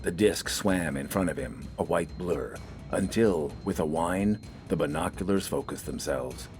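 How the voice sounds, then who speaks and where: A man's voice: low-pitched, unhurried, a little mellow, soft background music. A man reading scripted, dramatic fiction as an audiobook narrator.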